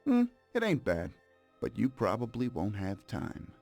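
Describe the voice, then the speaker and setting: Game villain: smug male mobster, mocking and theatrical, lively swings in pitch, faint music behind. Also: measured pace, exaggerated voice. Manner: mocking, sardonic, menacing. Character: smug, cold-blooded mobster betraying his old partner. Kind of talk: dramatic video game cutscene acting.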